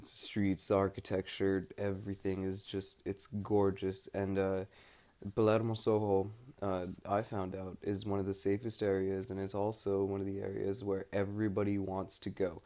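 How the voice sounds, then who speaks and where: A young man with an American accent talks through a personal audio log in a fairly low voice. His delivery is flat and unhurried in melody, rambling casually and stopping often to gather his thoughts. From United States. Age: young adult. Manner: hesitant, pausing often mid-thought. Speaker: study-abroad student keeping an audio log. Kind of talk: casual, rambling spoken diary.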